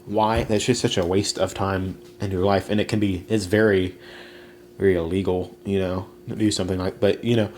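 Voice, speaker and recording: A young man with a fairly low voice talks through his plans in a loose, rambling way, full of "you know" and false starts. There is a faint hiss behind him, with an occasional click. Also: little pitch movement, normal speed, a little mellow. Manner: rambling, many fillers and restarts. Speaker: podcast host. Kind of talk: casual solo podcast talk.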